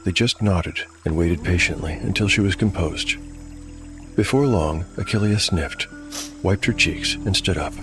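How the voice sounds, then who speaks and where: A man's voice: low-pitched, a little slow. A man narrating a solo tabletop fantasy game as game master, in a dramatic storytelling style. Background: prominent music.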